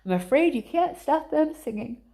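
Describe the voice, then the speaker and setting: A woman voicing an eccentric, excitable male chocolate-factory owner in a children's storybook, with polite, breezy, dismissive patter. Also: high voice, moderate pace, gravelly, pitched above the performer's own voice. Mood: cheery.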